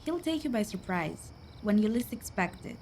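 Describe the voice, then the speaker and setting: A woman's voice: mid-range voice, very animated, normal speed, darkish tone, slight background noise. A woman acting a worldly, chatty, poor young woman in a radio play, confiding and folksy. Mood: breezy, coaxing.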